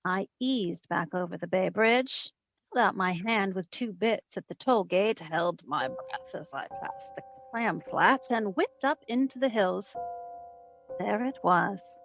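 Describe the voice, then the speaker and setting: A woman playing a sassy, quick-witted private detective, narrating her case in old-time radio drama style. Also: medium pitch, lo-fi sound, faint music behind, close to an everyday voice. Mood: wry, suspenseful. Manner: wry, wisecracking.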